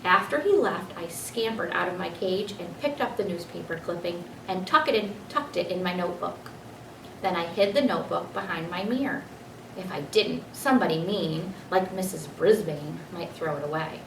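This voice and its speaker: A woman reading a children's storybook aloud in an expressive narrating style. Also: fairly low pitch, moderate pace, creaky. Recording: echoey room, distant mic, faint noise.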